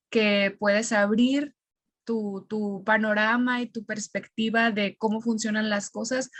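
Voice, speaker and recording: Woman, Mexican accent, language teacher, mid-pitched voice, reflective explanations, searching pauses, dry recording. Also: no room sound. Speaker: language teacher and linguist, interview guest. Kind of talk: conversational interview, explaining.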